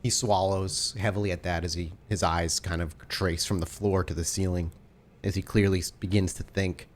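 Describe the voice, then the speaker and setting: A man's voice: low-pitched, fairly even intonation, moderate pace. A man improvising third-person narration of his character as a player in a tabletop roleplay.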